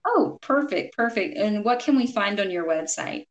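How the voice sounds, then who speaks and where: A woman's voice: medium pitch, animated, dull audio. A woman hosting a podcast who interviews her guest in a friendly, encouraging way, often saying "perfect". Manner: friendly and encouraging, quick affirmations. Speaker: podcast host.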